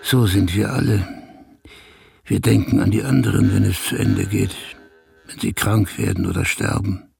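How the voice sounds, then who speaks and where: Very gravelly old herdsman. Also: deep voice, wildly swooping pitch, moderate pace, faint music behind, exaggerated voice. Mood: sorrowful. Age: older. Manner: talks gently to his exhausted horse. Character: weary old Kyrgyz horse herder, tender and sorrowful. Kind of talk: German radio play acting.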